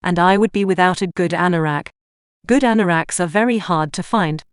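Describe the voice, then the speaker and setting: A woman's voice: lowish voice, brisk, clear, resonant tone, dry recording, clean studio sound, close to an everyday voice. A woman playing a florid, obsequious, scheming minion in a sci-fi audio drama parody. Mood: smooth, sly. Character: fawning, scheming noblewoman minion, grandly theatrical and devious.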